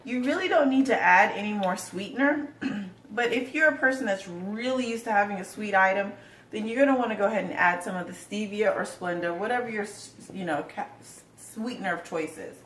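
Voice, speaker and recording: Relaxed woman demonstrating a recipe in her kitchen, loose and chatty, recorded from a distance. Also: mid-range voice, fairly quick, echoey room, a little hiss or hum. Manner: chatty and relaxed, with filler words. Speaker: home cooking demonstrator. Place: home kitchen.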